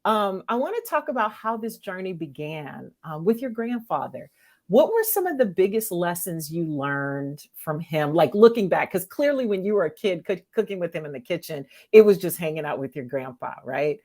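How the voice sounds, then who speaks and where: A woman's voice: fairly low pitch, sing-song, normal speed, darkish tone. A woman hosting a podcast interview, asking reflective questions. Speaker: podcast host.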